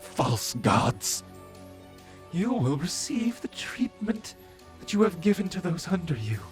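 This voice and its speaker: A theatrical, wildly swooping mad zealot. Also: male voice, high-pitched, very animated, soft background music, full character voice. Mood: fervent accusation. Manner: grandiose, preaching, drawn-out dramatic delivery. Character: mad zealous male servant of a moon goddess, theatrical villain. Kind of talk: scripted fantasy audio roleplay.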